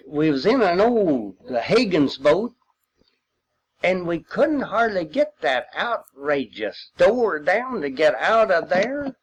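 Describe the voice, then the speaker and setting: Slow-talking older man, folksy storyteller. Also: fairly high pitch, low-quality recording. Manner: folksy, rambling storytelling with long hesitations. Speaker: war veteran recounting memories. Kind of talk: informal spoken reminiscence.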